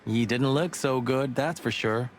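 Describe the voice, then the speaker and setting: A man's voice: medium pitch, unhurried, a little hiss or hum, natural voice. A man playing a world-weary rooster detective in a noir adventure game, speaking in a wry, reflective way.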